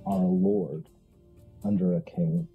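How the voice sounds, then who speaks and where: Gravelly, commanding dark heir acted by a man. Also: low-pitched, very animated, phone-quality sound, faint music behind, full character voice. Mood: solemn, commanding. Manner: grave, measured, ceremonial. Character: solemn, commanding heir claiming a dark kingdom's throne.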